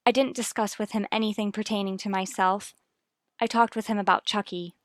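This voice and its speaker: A woman's voice: mid-range voice, fairly even intonation, fairly quick, no room sound, studio quality, natural voice. An actress playing an earnest, anxious but determined wife and mother in a 1950s radio soap, formal and firm. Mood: tense, earnest.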